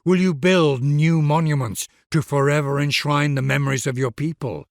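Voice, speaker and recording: Solemn gravelly man narrating grandly. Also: a little high, steady tone, normal speed, no echo, studio quality. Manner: solemn, grandiose, formal address to a ruler. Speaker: narrator of game leader introductions. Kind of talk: scripted dramatic narration in a video game.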